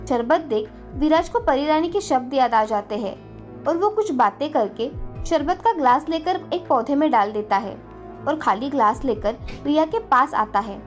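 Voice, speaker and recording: A woman's voice: high-pitched, animated, normal speed. A woman storyteller giving scripted narration of a children's fairy tale. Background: faint background music.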